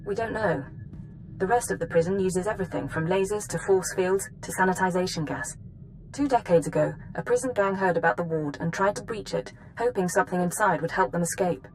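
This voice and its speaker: An actress playing a stern, formal intelligence handler issuing mission orders in a video game. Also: female voice, fairly low pitch, a little fast, slight background noise, lightly acted, rough recording. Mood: stern, serious. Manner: formal, authoritative.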